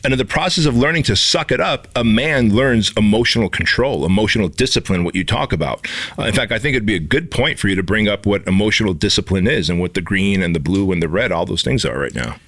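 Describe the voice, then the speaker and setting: A man's voice: lowish voice, animated, fast, fairly bright tone. A man in his forties from Armenia, co-hosting a podcast and talking emphatically, swearing freely. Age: 40s. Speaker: podcast co-host. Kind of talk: casual motivational talk.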